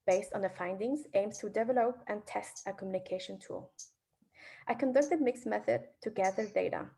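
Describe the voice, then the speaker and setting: A young woman from Vietnam, presenting her research in a careful, measured way. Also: medium pitch, creaky, mellow, husky. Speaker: student presenter.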